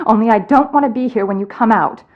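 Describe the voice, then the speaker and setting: An actress as a high-pitched, high-strung bossy woman. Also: quick pace, phone-quality sound, close to an everyday voice. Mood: bossy, anxious. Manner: exasperated, emphatic, commanding. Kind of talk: video game voice acting.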